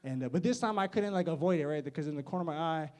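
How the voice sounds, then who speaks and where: A man's voice: on the high side, animated, racing, a little mellow. A young man doing a stand-up set, awkward and self-mocking, laughing at his own jokes. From United States. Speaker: stand-up comedian.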